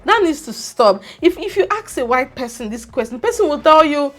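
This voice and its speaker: Animated Nigerian woman scolding her viewers. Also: high-pitched, brisk. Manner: animated, scolding, pidgin words mixed in. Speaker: vlogger. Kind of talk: casual opinionated vlog monologue.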